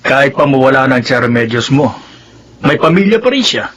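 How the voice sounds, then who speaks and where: Fatherly Filipino man, radio drama: husky, philosophical, caring. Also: medium pitch, sing-song, brisk, a bit bright. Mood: resigned.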